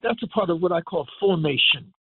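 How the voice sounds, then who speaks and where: An older man giving an impassioned radio lecture as a guest, speaking emphatically and building to forceful declarations. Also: high-pitched, expressive, fairly quick, husky, no echo. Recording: tinny phone line.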